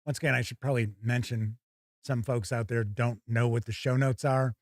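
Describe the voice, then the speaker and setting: A man's voice: medium pitch, fairly even intonation, normal speed, no room sound, clean studio sound. An American man hosting a live stream, talking in a casual, chatty and emphatic way with colloquial turns of phrase. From United States. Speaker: YouTube live stream host.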